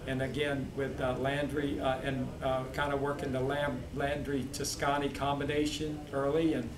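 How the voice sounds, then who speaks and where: A man who is a head football coach, answering postgame questions in a steady, measured way with many fillers. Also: medium pitch. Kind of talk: postgame press interview. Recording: echoey, distant mic, crowd noise.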